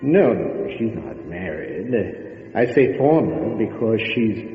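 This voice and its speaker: A man's voice: lowish voice, sing-song, slow. A man playing a ghoulish, pun-loving horror host in an old-time radio style, theatrical and darkly joking. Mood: gleefully morbid. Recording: muffled, long cavernous reverb, music behind. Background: music.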